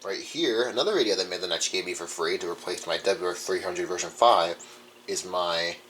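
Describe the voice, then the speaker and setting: Low-pitched young man, casual and rambling. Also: brisk, fairly bright tone. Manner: informal, rambling. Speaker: radio collector. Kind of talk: casual show-and-tell vlog. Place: indoor room. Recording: some background noise.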